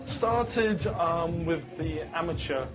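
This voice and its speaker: A man in an interview, talking in a halting, matter-of-fact way. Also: a little high, over music. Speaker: professional stripper.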